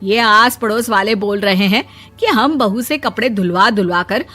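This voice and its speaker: A woman playing an indignant middle-aged village mother-in-law in an animated moral story, scolding and emphatic. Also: mid-range voice, normal speed, faint music behind.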